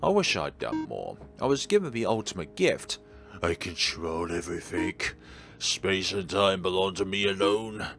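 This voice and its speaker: A man's voice: low voice, sing-song, normal speed, vocal fry, a bit bright, soft background music, heavily acted. Guy voicing a sad tired god in a visual novel, wistful and dramatic, voice goes up and down a lot. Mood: brooding. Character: melancholy, world-weary God reflecting on failed creations. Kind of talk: reading visual novel dialogue aloud.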